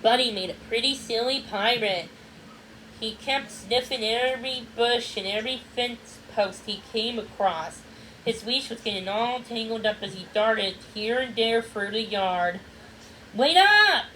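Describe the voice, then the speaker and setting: Higher-voiced woman reading a storybook aloud. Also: steady tone, a bit bright, slight background noise, low-quality recording. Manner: halting reading, repeats and corrects words. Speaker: read-along narrator. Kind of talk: children's storybook read-aloud.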